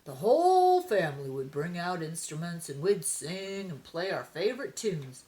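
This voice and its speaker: A woman's voice: fairly low pitch, big pitch swings, moderate pace, dark tone. A woman voicing a playful, nostalgic old grandfather cat in a children's storybook, drawing out words in a folksy way.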